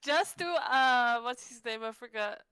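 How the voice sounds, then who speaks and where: A woman's voice: a little high, measured pace, pushed and bright, clean tone. A woman chatting casually as a player in a tabletop roleplaying game.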